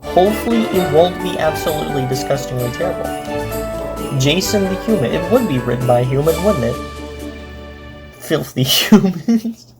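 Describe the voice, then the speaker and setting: Low-voiced woman giving jokey reactions. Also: moderate pace, over loud music. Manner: rambling, repetitive, jokey deadpan asides. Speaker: reaction video host. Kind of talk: casual reaction commentary.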